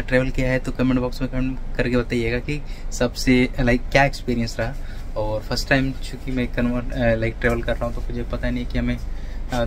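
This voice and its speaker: A man, chatting casually as a travel vlogger on a handheld phone inside a train compartment. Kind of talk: casual vlog chat mixing Hindi and English. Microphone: handheld phone at arm's length. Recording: noisy train carriage with vehicle rumble.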